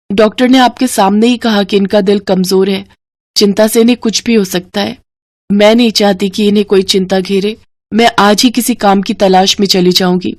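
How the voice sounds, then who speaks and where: A woman's voice: mid-range voice, a little mellow, dry recording, lightly acted. Woman as humble village mother for a moral story: courteous, pleading, dry close sound. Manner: polite, pleading, deferential. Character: humble, hardworking poor village wife and mother.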